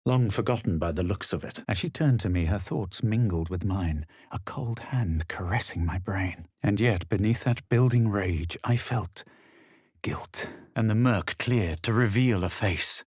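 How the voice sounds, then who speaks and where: A haughty young adventurer from a fantasy video game. His voice is on the low side with a slightly husky grain, measured and dryly superior, recorded very dry and close. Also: a little mellow, no echo. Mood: brooding, grave. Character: haughty young male fantasy adventurer, self-assured, brooding. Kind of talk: video game voice acting, dramatic narration and remarks.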